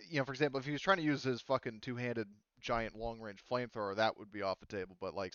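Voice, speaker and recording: Game master guy, lowish smooth voice, hedges a lot and says like constantly, dry slightly muffled mic. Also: a bit bright, no room sound. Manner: hesitant, hedging, repeats "like" often. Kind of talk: casual rules explanation at a tabletop game.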